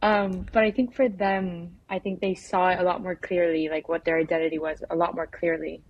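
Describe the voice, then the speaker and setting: Young woman, informal and halting filmmaker. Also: fairly low pitch, little pitch movement, no echo, dull audio. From United States. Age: young adult. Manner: informal, halting, peppered with filler "like". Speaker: documentary filmmaker being interviewed. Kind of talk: casual conversational interview answers.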